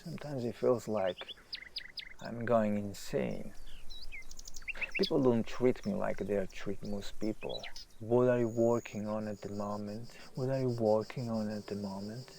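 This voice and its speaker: An actor playing an anguished, self-doubting writer in an audio drama, intense and brooding, moving between hushed and emphatic delivery. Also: male voice, on the low side, fairly even intonation, unhurried. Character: anguished, self-doubting famous male writer, restless and self-deprecating. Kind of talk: naturalistic audio drama monologue. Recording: clear background hiss, outdoor nature ambience.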